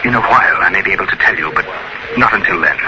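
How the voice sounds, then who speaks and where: An actor playing a troubled, haunted gentleman with a polite but intense manner in a 1940s radio horror drama. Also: low voice, racing, muffled recording, natural voice. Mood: troubled, desperate. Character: troubled, haunted gentleman, at times menacingly possessed. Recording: scratchy 1940s radio recording, heavy noise.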